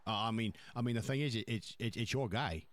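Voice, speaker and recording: A man's voice: medium pitch, fairly quick, no echo, close to an everyday voice. A man playing a blunt, commanding, foul-mouthed mafia boss in an improvised crime roleplay game, repeating himself as he gives orders. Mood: blunt, decisive. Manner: blunt, profane, repetitive, giving orders. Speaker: roleplay player.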